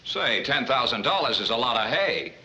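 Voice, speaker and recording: An actor playing a confident, brisk male schemer with an assured, wisecracking manner in an old film. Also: mid-range voice, very animated, sharp tone, lo-fi sound. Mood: wry. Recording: noisy old film soundtrack.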